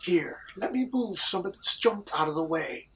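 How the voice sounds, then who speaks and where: A woman's voice: fairly low pitch, animated, moderate pace, breathy edge. A woman playing a sly schemer in an audio comedy, knowing and insistent, with some faint noise behind. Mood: insistent, hushed. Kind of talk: audio comedy drama acting.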